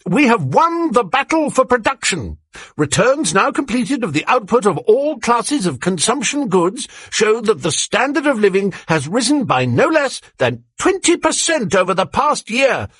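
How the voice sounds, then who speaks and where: An eager, youthful propaganda announcer, put on by a man. His voice sits well above the narration and proclaims each line with bright zeal, close and dry. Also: high-pitched, very animated, normal speed, breathy edge, no echo, pitched above the performer's own voice, heavily acted. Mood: triumphant.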